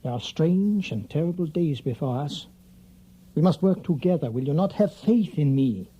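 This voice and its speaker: An actor playing a grave older European professor and vampire hunter, formal, deliberate and secretive, in an audio drama. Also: male voice, fairly high pitch, expressive, mellow, breathy edge, muffled recording. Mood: grimly insistent.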